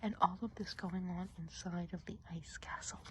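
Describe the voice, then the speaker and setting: A woman playing a friendly, gossipy witch of the woods in a soft, breathy ASMR roleplay, often whispering and musing gently. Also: lowish voice, steady tone, a little hiss or hum, lightly acted. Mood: musing, chatty. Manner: chatty, gentle, unhurried musing.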